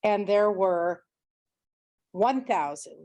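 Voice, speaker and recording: A middle-aged woman speaks with a loud, carrying teacher's voice, presenting to a community gathering. Her pitch sits in a middle range, and her delivery is firm and explanatory. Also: expressive, very slow. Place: community meeting room.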